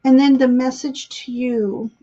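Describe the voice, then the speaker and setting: A woman giving intuitive readings on a live stream, congested and halting, often clearing her throat. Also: sits high, fairly even intonation, dark tone. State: congested sinuses, voice slightly giving out. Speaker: guest psychic tarot reader. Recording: dull, lo-fi stream audio.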